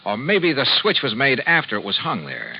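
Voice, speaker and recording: A man's voice: mid-range voice, huge pitch swings, a little fast, gravelly, slight background noise. A man acting a shrewd, guarded insurance investigator in a 1950s radio drama, American accent, clipped world-weary delivery. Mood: confiding, calculating. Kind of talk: 1950s radio detective drama acting, first-person narration. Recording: dull vintage radio sound, faint music behind.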